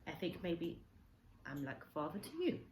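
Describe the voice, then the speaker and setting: A woman voicing an earnest, gentle, sorrowful old Japanese man in a children's storybook, speaking halting, simple broken English. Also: fairly low pitch, big pitch swings. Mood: confiding, regretful. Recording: echoey room, faint background noise.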